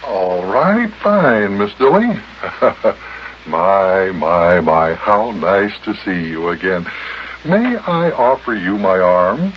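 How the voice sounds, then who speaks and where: A man's voice: low-pitched, big pitch swings, takes it slowly, exaggerated voice. An actor playing a kindly, polite grown man in a 1950s children's radio serial. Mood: gallant. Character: Big Jon, kindly courteous grown-up man. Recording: dull, noisy old radio recording.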